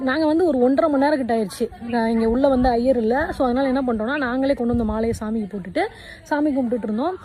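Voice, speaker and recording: Fast-talking, high-voiced woman, soft mellow tone. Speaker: social media influencer recounting her experience. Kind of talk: casual video account.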